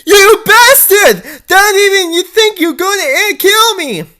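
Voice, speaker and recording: A performer does a young cartoon girl in a melodramatic fanfic reading. She is high-pitched and piercingly bright, swooping between fear and hot temper in loud cries. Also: animated, measured pace, heavily acted. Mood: outraged. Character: young female cartoon toon, dramatic, frightened and hot-tempered.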